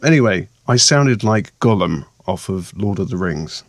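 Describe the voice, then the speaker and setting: A man with a hoarse, croaky voice from a sore throat, narrating his vlog in a chatty, rambling, self-mocking way. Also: on the low side, lively intonation, normal speed, dry recording, studio quality. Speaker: vlogger and meditation maker.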